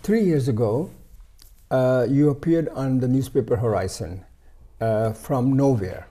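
Older male TV host, mid pitch, very mellow dark tone; short questions, many murmured acknowledgements. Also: measured pace. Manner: brief backchannel, simple questions in non-native English. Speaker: TV interviewer, host. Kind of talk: studio interview. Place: TV studio.

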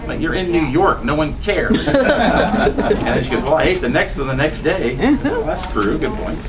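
A man's voice: on the high side, moderate pace, over a noisy crowd. A man in his fifties giving a poetry reading with chatty, laughing asides in a small bookstore room. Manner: animated, gesturing, laughs between lines. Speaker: poet. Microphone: far camera, no mic. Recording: voice from a distance.

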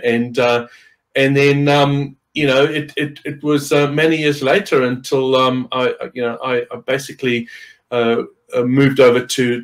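A man's voice: medium pitch, low-quality recording. A middle-aged man from Zimbabwe, a podcast guest chatting casually on a video call from home, rambling with many fillers and restarts. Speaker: podcast guest, wreck diver. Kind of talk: casual chat. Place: home room with window.

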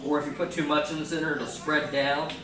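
A man's voice: fairly high pitch, flat delivery, fairly quick, over music. A young man casually talking through his meal prep at home, thinking aloud with lots of fillers. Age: young adult. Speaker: fitness cooking vlogger. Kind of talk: casual cooking demo. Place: home kitchen, indoors. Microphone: far camera on a stand. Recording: echoey kitchen, far-off camera mic, noisy.

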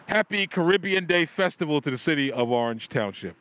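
A middle-aged man with a bright, forceful, fairly high voice, doing an upbeat street interview into a handheld mic. His promo patter is playful and punchy, and he talks outdoors by a row of shops. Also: animated, brisk, faint music behind. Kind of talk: community TV interview.

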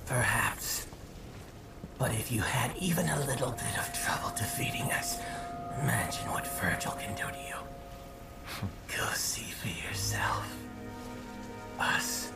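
A wounded demonic bird in a game cutscene. The voice is a weak, breathy rasp, slow and gravelly. It stays sardonic and defiant as it dies. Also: male voice, lowish voice, recorded from a distance, exaggerated voice. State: weak, dying. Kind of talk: video game cutscene acting.

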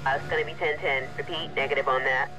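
A man's voice: medium pitch, steady tone, quick pace, over music. An actor playing an American male police dispatcher in a video game, clipped and procedural, through a radio filter. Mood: businesslike. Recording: police radio sound over loud engine noise.

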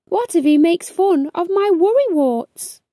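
Here is a woman acting out an anxious little beaver boy in a children's storybook. The voice is high and soft-edged, with slow, expressive delivery as he frets and steels himself to be brave. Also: dry recording, studio quality. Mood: worried. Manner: expressive, chanting rhymes and fretting. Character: anxious young male beaver, talking himself into courage. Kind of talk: children's storybook read-aloud.

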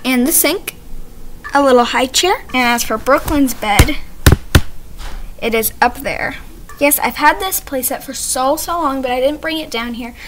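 High-voiced young girl vlogger, chatty. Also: a little slow, a bit bright. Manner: rambling, chatty, self-correcting. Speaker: host of a doll video channel. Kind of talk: casual home tour vlog chat. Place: small home playroom, indoors. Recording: noticeable background noise, amateur camera audio.

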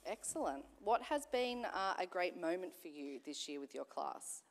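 A woman, a children's minister whose voice is slightly croaky, interviewing in an upbeat way on stage. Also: lowish voice, animated, moderate pace. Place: church sanctuary. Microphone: handheld mic. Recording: long church hall reverb.

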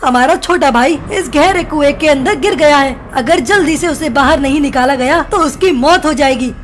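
A woman plays a deceitful young cartoon bird. The voice is very high and urgent, with faint noise behind it. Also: moderate pace, natural voice. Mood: feigned distress. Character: sly young trickster bird, deceitful brother.

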